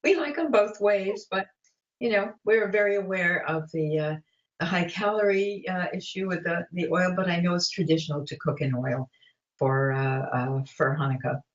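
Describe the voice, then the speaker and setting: A woman's voice: on the low side, moderate pace, roomy sound, dull audio. A woman in her late seventies, a guest chatting casually about cooking, with many fillers and restarts. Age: 70s. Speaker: guest, vegan cookbook author and cooking teacher. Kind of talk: casual interview chat.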